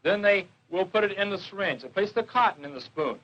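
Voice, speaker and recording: A man's voice: sits high, animated, fairly quick, a bit bright, muffled recording, slight background noise. A man giving an informal classroom slide lecture as a police drug educator, on a 1970s educational film soundtrack. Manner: questioning, repeats and confirms answers.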